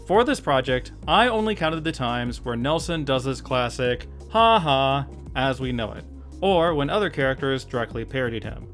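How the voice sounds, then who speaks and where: Man, lively swinging pitch, casual jokey video narration, emphatic, mock-exasperated, music underneath. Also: moderate pace. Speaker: video host, YouTuber. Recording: music behind the voice. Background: background music.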